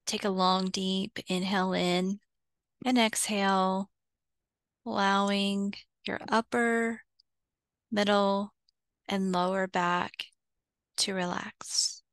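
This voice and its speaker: Slow-spoken woman guiding meditation, smooth voice. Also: medium pitch, steady tone, no room sound. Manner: soothing, unhurried, with long pauses between short phrases. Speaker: guided meditation teacher. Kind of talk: guided visualization, slow meditation instruction.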